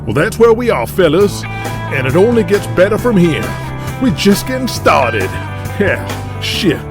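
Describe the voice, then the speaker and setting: A man's voice: sits high, very animated, a little slow, heavily acted. A man playing a folksy, foul-mouthed older wrestling boss in an audio drama, talking colloquially with swagger. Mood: rousing, triumphant. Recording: music behind the voice.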